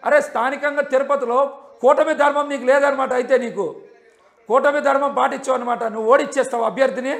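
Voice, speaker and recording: A man's voice: extremely high pitch, steady tone, fairly quick, creaky, a bit bright. Combative man hammering repeated questions. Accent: Andhra Telugu. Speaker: politician on a debate panel. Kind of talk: heated live TV debate.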